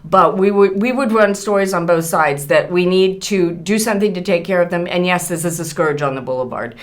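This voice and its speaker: Older-ish woman, lowish voice, talking through her work in an interview. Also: fairly quick. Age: middle-aged or older. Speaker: interview guest, newspaper publisher. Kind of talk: conversational interview answers.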